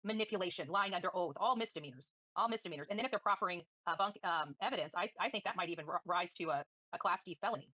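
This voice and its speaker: Fast-talking woman, bright forceful voice. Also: lowish voice, no echo, muffled recording. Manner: combative, defiant, scornful. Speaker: livestream host. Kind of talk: livestream commentary, answering viewer comments.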